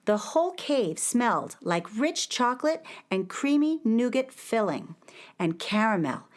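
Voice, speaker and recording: A woman narrating a scripted children's story with an expressive storybook delivery. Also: a little high, measured pace. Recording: guitar music behind the voice.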